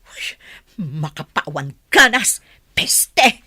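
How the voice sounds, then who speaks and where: Forceful, husky, furious jealous woman. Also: low voice, big pitch swings, very slow, exaggerated voice. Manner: confrontational, indignant. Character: jealous, furious woman confronting her man over his ex. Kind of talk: radio drama acting.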